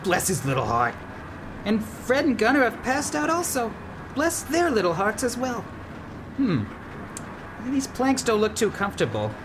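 A man playing an earnest, excitable, sweetly fussy band leader in a comedic radio play, theatrical and exclamatory. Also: sits high, a little slow, pauses often, background noise, heavily acted. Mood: tender, cosy. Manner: theatrical, exclamatory, talks to himself.

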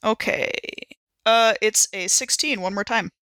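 A woman's voice: mid-range voice, measured pace, pushed and bright, no echo, clean studio sound. A woman playing a tabletop game, chatting casually and thinking aloud in an informal way.

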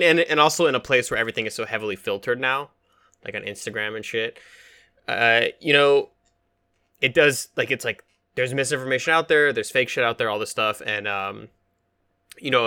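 A man's voice: mid-range voice, pushed and bright. A man co-hosting a podcast, chatting in a casual, joking and rambling way with some swearing. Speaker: podcast co-host. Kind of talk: casual comedic chat.

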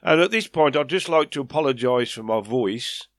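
Brief: male radio presenter, mid pitch, stuffed-up nasal voice, rambling and keen. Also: lively intonation, moderate pace, no room sound. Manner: chatty, enthusiastic, rambling asides. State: nasal from a head cold. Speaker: radio show host. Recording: faint music behind.

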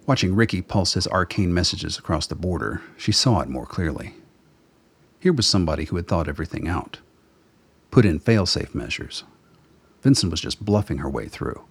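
A man narrates a radio drama from a script. His voice is low and deep, with a husky, breath-roughened grain. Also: expressive, normal speed, no room sound.

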